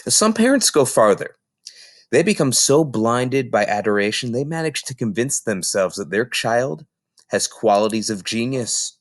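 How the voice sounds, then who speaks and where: A man reading a children's book aloud in an expressive, theatrical storytelling style with emphatic phrasing. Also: medium pitch, a little slow, no echo, studio quality.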